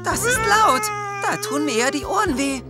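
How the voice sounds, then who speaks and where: Cartoon boy puppy: high, earnest, exclamatory, friendly, over loud music. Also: expressive, normal speed. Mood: distressed. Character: young excitable friendly jungle puppy, male.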